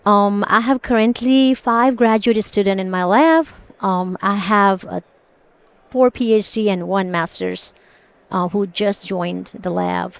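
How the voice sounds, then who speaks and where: Smooth-voiced woman. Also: medium pitch, dry recording. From Nepal. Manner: many ums, quick bursts, friendly laughs. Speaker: associate professor, guest. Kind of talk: casual podcast interview.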